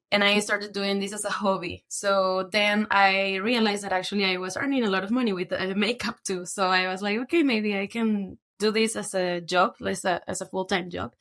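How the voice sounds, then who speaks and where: A woman's voice: mid-range voice, a bit bright. A young woman from Peru, a podcast guest who tells her story in a chatty way, with many fillers. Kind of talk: conversational storytelling.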